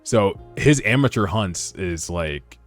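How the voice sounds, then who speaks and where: A man giving animated, slangy, sometimes profane reaction commentary as host of a read-along. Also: sits low, slow pace. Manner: casual, slangy, profane, excitable. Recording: background music under voice. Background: music.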